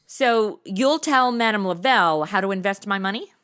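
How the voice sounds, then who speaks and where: A woman's voice: mid-range voice, moderate pace, a bit bright, clean tone, close to an everyday voice. A woman playing a brash, flippant undercover gal in a comedy audio drama, with casual, exaggerated wisecracking delivery.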